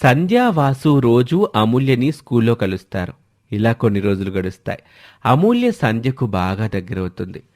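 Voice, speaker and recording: A man's voice: medium pitch, very animated, moderate pace, a little mellow. A man narrating a scripted dramatic family story as a storyteller.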